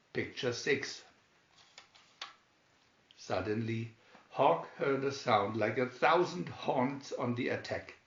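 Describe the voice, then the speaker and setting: A man reading a children's adventure story aloud with measured, deliberate phrasing, recorded in an echoey room. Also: mid-range voice, a bit bright, highs cut off. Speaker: storybook narrator.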